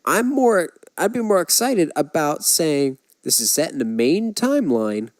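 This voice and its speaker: A man's voice: fairly high pitch, big pitch swings, a little slow, no echo. A man co-hosting a podcast, casually discussing films and giving his opinions with examples. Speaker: podcast co-host.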